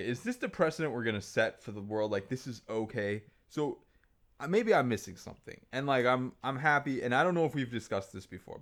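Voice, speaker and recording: A man co-hosting a podcast in casual chat, talking freely and swearing, often acting out what other people said. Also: mid-range voice. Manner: animated, acts out others' voices, swears. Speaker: podcast co-host.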